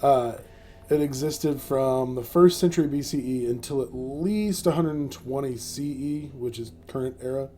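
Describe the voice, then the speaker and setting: A man's voice: mid-range voice, measured pace, warm tone, slight background noise. A man hosting a casual comedy podcast, joking around with many fillers and frequent laughs. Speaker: podcast host.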